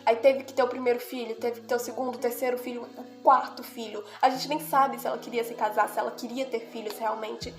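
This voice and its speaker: A young woman with a nasal, stuffed-up voice from a cold talks through a heartfelt fan analysis. Her voice sits slightly high, and she keeps checking in with the viewer as she goes. Soft music plays faintly in the background. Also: flat delivery, brisk, hardly any pauses. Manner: earnest, heartfelt, repeats "né?" and "sabe?". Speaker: video creator analyzing anime. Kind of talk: casual analysis monologue.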